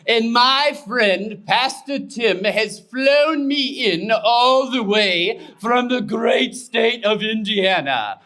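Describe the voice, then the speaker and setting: Slow, high-voiced pompous donkey puppet. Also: male voice, bright, forceful tone, exaggerated voice. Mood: pompous, worn out. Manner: pompous, deliberate, dramatic. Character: grandiose weary old-fashioned gentleman donkey storyteller puppet. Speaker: puppet show storyteller. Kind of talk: theatrical children's storytelling.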